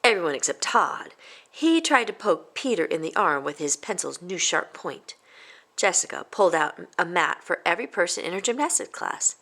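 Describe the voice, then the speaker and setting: A woman reading a children's picture book aloud as expressive story narration. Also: lowish voice, moderate pace. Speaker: storybook reader.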